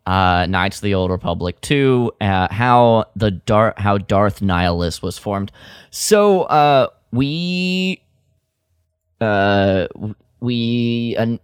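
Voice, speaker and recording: A man co-hosting a podcast in casual fan chat, halting and playful. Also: on the low side, clear, resonant tone, dry recording, clean studio sound. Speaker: podcast co-host.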